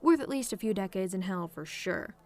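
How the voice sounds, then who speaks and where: Woman acting as a tomboy demon girl, sarcastic n casual, teasing roleplay talk, mid pitch. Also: lively intonation, brisk, a little mellow, natural voice. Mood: fond, casual. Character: sardonic tomboy female demon, relaxed, teasing, secretly fond of simple pleasures. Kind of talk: scripted roleplay monologue to a listener.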